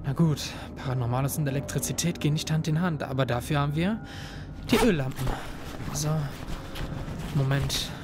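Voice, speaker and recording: A man's voice: on the high side, moderate pace, long pauses, background noise, lightly acted. A young man playing a student searching a ghost town for missing friends, talking tensely and urgently. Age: young adult. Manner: tense, urgent, shouts in danger. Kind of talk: fan audio drama acting. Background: loud horror music.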